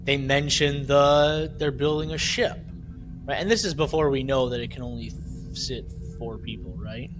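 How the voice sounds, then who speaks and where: A man co-hosting a podcast in casual chat, retelling things in an animated way and often checking for agreement. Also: medium pitch, moderate pace, over music. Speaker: podcast co-host.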